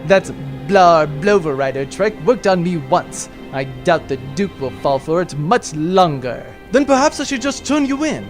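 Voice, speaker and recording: An actor playing a grandiose, pompous space adventurer with theatrical delivery in a comic sci-fi audio drama. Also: male voice, sits high, big pitch swings, moderate pace, few pauses, soft background music. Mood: scheming.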